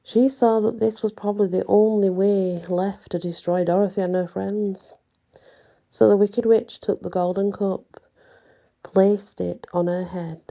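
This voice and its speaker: A woman telling a bedtime story in a hushed, unhurried ASMR narration, drawing out phrases and adding knowing little asides to the listener. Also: medium pitch, fairly even intonation, vocal fry. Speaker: storyteller reading a tale aloud.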